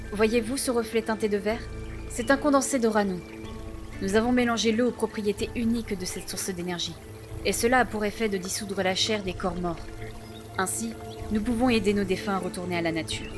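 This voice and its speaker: Proud village clan chief, female, played by an actress: ceremonious, measured, average pitch, fantasy audio drama over clear music. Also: natural voice. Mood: stately, explaining. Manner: formal, measured, ceremonious phrasing. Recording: voice under clear background music.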